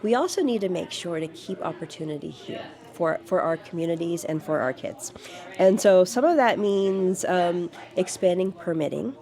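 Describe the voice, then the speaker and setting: A woman's voice: on the low side, normal speed, dark tone. A woman in her fifties from the United States answering interview questions as a city council candidate, earnest, with frequent fillers and restarts. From United States, grew up in Georgia.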